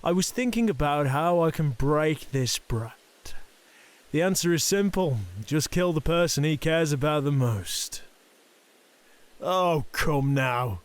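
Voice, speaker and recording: A man playing a cruel, domineering middle-aged Yakuza boss and father in an audio drama, taunting and threatening. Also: fairly high pitch. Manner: taunting, threatening, slow deliberate delivery. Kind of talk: ASMR roleplay audio drama acting.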